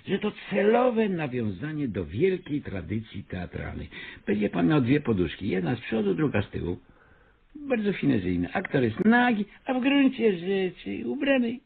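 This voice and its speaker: Pompous theatre director, swooping lively pitch. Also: male voice, creaky, breathy edge, narrow, tinny audio, full character voice. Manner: grandiose, coaxing, theatrical. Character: pompous, fast-talking avant-garde theatre director, scheming and persuasive. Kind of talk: Polish radio comedy acting.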